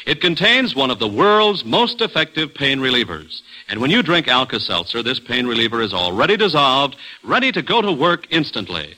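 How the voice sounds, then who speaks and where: A genial, hearty airline captain for an old radio commercial. His voice is very bright and forceful, with lively swoops of pitch and an emphatic selling style. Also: normal speed, few pauses, full character voice, rough recording. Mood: confident selling. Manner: hearty, emphatic salesman delivery. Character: genial adult male airline pilot captain. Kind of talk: scripted 1950s radio commercial acting.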